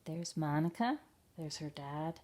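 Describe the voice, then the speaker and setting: A woman leads a children's storytime with a gentle manner. Her voice sits on the low side, and she takes her words very slowly, keeping explanations simple for young listeners. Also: animated. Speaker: children's library storytime reader. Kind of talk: storytime read-aloud and talk to children.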